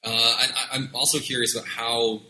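A man's voice: mid-range voice, flat delivery, fairly quick, sharp tone, a little hiss or hum, rough recording. A man interviewing a guest, asking his questions hesitantly with frequent restarts.

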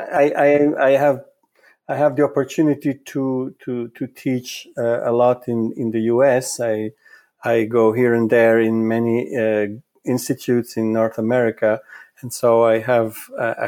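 A man from Italy speaks, with Italian as his first language. His voice sits at a middling pitch for a man. He gives careful, measured interview answers and often stalls on repeated words before carrying on. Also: level tone, darkish tone. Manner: halting, with many repeated words and restarts. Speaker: psychoanalyst guest.

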